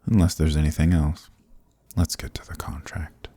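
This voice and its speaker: Casting: male actor for ancient dominant archdemon, dark fantasy ASMR. Bottomless bass with husky rasp, slow, smug, commanding delivery. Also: flat delivery, no room sound, heavily acted. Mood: smug, weary. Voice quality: very deep, gravelly, husky, breathy. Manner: slow, deliberate, condescending, commanding.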